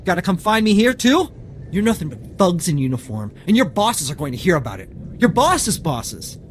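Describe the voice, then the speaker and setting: An actor playing an aggrieved, shifty informant in a video game, whining and wheedling for payment. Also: male voice, high voice, very animated, normal speed, slight background noise. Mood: indignant. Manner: whiny, indignant, wheedling.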